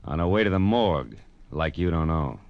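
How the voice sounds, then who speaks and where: An actor playing a wry, hardboiled private detective in an old radio crime drama, with dry wisecracking delivery. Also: male voice, rumbling low, expressive, a little fast, vocal fry, no echo, dull audio, exaggerated voice. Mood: sardonic. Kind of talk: hardboiled 1950s radio detective narration and dialogue.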